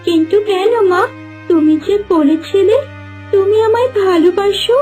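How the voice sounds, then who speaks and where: Casting: woman playing fairy tale village girl, tiny high voice, plaintive, childlike. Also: measured pace, darkish tone, soft background music. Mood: pleading. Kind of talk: cartoon dubbing.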